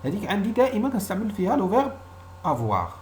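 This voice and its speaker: A Moroccan man with a lively, widely moving pitch teaches an informal language lesson. As a native Arabic speaker, he explains in a didactic way and often repeats short example phrases. A faint hum of noise sits behind his voice. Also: racing.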